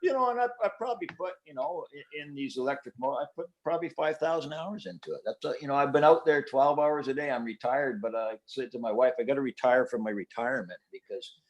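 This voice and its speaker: A man's voice: mid-range voice, quick pace, poor audio. Older man, folksy and self-deprecating. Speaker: interview guest, hobbyist builder. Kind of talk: casual chat.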